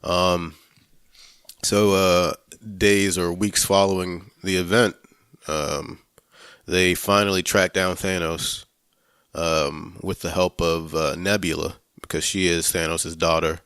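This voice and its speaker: A man hosting a casual film podcast, talking in a halting way, searching for words and swearing freely. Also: low voice, flat delivery, fairly bright tone, clean tone, dry recording, clean studio sound. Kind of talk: casual movie review chat.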